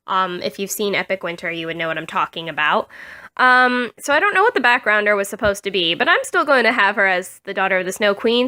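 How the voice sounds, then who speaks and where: A woman with a voice slightly husky from a cold, chatting casually with lots of ums and self-corrections as she shows off dolls she customized. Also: mid-range voice, bright, forceful tone. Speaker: doll customizer showing her work. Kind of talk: casual show-and-tell vlog. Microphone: phone camera.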